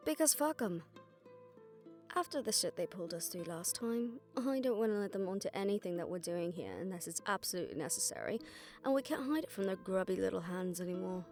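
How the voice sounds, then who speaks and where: A woman's voice: medium pitch, expressive, a little fast, darkish tone, faint music behind, close to an everyday voice. A woman playing a jaded, sardonic witch detective who sees visions of death, talking conversationally to a partner in a roleplay audio drama. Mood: scornful, sarcastic.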